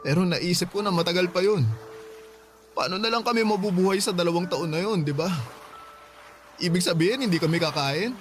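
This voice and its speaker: An actor playing a gentle, self-sacrificing young student boyfriend, earnest and sorrowful, in a Tagalog radio drama. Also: male voice, high voice, fairly quick, long pauses, soft background music, close to an everyday voice. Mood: sad, resigned. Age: young adult. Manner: soft-hearted, earnest, sorrowful.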